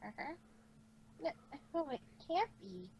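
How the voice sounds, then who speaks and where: A woman plays a proud, stubborn female unicorn for a storybook reading. The voice is high and swings up and down, slow and haughty. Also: very animated, vocal fry, lo-fi sound, exaggerated voice. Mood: dread. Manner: haughty, refers to herself by name. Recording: noisy home recording.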